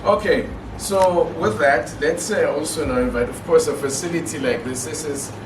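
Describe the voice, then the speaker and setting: A man hosting an event as master of ceremonies, loud, jovial and informal. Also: fairly high pitch, moderate pace. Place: hotel function room. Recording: echoey room from far off, noisy.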